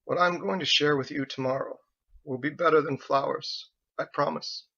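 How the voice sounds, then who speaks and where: A man's voice: fairly high pitch, fairly even intonation, moderate pace, gravelly, bright, forceful tone, lo-fi sound, pitched above the performer's own voice, heavily acted. A man voicing a secretive older husband in an audiobook, outwardly fond, teasing and coaxing. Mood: tender, coaxing. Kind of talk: audiobook character voice.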